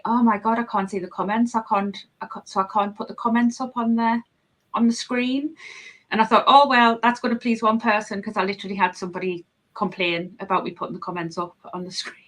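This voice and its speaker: A woman's voice: mid-range voice, steady tone, fairly quick, a bit bright, rough recording. Woman host from Scotland; casual, giggly live-stream chatter, reads comments aloud. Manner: chatty, laughs often, frequent restarts. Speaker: podcast host.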